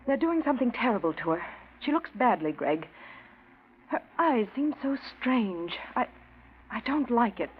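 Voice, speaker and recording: An American actress playing an anxious, devoted young fiancée, earnest and gently pleading in an old radio drama. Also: female voice, a little high, big pitch swings, normal speed. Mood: worried, earnest. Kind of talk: 1940s radio drama acting. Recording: tinny, noisy old radio recording.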